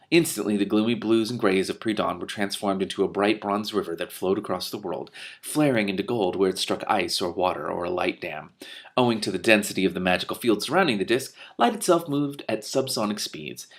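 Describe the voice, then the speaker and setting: Low-voiced man reading fantasy aloud. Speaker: reader and commentator.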